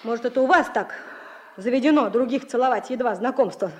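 An actress playing a plain-spoken, proper village widow of thirty in a Soviet radio drama, with folksy rural speech. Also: female voice, a little high, a little fast, a little mellow, lightly acted. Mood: firm, caring. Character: village widow of thirty, simple, hospitable yet firmly proper.

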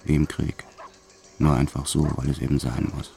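An actor playing a brutal, proud French gangster who tells his life in measured, sombre radio play narration. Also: very deep voice, flat delivery, vocal fry, dark tone. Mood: cold, matter-of-fact.

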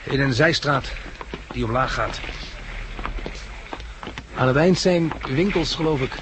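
An actor with a Dutch accent from the Netherlands playing a level-headed captive who guides blind survivors and narrates a 1960s radio drama, earnest and reasoning. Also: male voice, mid-range voice, takes it slowly, lightly acted. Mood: tense, reasoning. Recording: noisy old 1960s radio recording.

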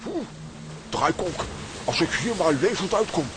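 A man's voice: high-pitched, very animated, unhurried, a bit bright, exaggerated voice. An actor playing a vain, easily offended comic man in a children's radio play, indignant and theatrical. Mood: apprehensive. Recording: clearly noisy recording with clicks.

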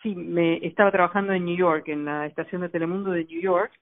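A woman's voice: fairly low pitch, fairly even intonation, brisk. A middle-aged woman in a casual radio conversation, reflective and opinionated, often saying "o sea". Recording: dry phone-line sound.

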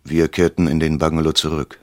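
An actor playing an uneasy first-person narrator in a radio crime drama, telling the story in a measured literary way with a husky voice. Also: male voice, rumbling low, flat delivery, a little hiss or hum. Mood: sober, measured.

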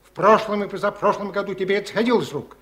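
An actor playing a stern Soviet district boss in a radio play, questioning with authority and sarcasm. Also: male voice, sits high, fast talker, fairly bright tone. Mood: sarcastic reprimand.

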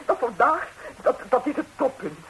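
An actress playing a chatty, middle-aged gossiping wife with theatrical exclamations in a 1960s radio comedy. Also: female voice, high voice, very animated, moderate pace, a bit bright, heavily acted, rough recording. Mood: flustered. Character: gossiping Madrilenian wife, flustered, indignant, melodramatic. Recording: hissy old 1960s radio recording.

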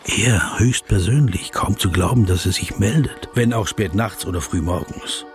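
An actor voices a male writer's inner narration in a radio drama. The voice is deep, dry and measured, wryly weary, laid over clearly audible music. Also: close to an everyday voice. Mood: wry, reflective. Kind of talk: scripted first-person radio play narration.

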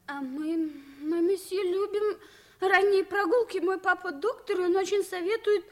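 Small boy, very high pitch, earnest, excitable, vintage radio drama. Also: moderate pace. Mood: nervous, polite. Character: earnest, excitable, naive young French boy. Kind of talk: 1950s radio drama acting.

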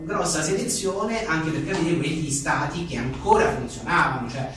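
A man's voice: a little high, fairly bright tone. Echoey, distant man in a rambling interview. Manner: rambling, self-deprecating, joking. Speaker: journalist and author being interviewed. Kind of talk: casual live interview about a book. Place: echoey indoor venue at a live book talk. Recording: very echoey room, distant mic, faint noise.

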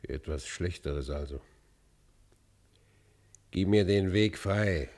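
Low-voiced, tormented outlaw. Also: male voice, slow pace, a little mellow. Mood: defiant, bitter. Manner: anguished, declamatory, literary storytelling. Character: tormented, guilt-ridden male fugitive outlaw confessing his crimes. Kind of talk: German radio play monologue and dialogue.

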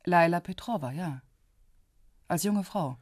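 An actress playing a cool, insistent police intelligence investigator in a radio crime drama, husky, controlled and businesslike. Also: female voice, on the low side, a little slow, darkish tone, no room sound, clean studio sound. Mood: probing. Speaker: supporting actor.

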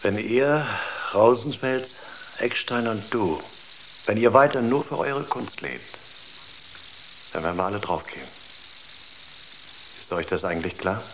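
A man's voice: lowish voice, lively intonation, a little slow, pauses often. An actor in a German radio drama playing a worried, insistent spaceship crewman. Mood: urgent, alarmed. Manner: earnest, insistent. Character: worried, urgent male spaceship crewman arguing with colleagues. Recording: clearly noisy ambience behind the voice.

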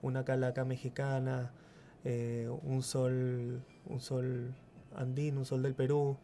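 Hesitant, mellow-voiced man, faint music behind. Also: medium pitch, monotone, takes it slowly, a little hiss or hum. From Chile. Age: 40s. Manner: hesitant, repeats words while thinking. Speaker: guest muralist. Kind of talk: radio interview.

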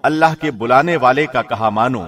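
Man, medium pitch, measured scripted reading, radio presenter delivery. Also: a bit bright. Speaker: translation reader, radio host.

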